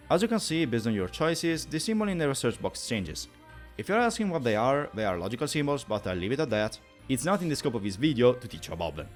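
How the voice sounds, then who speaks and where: A man hosting a tutorial, narrating with playful, mock-formal phrasing. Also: mid-range voice. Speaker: video host.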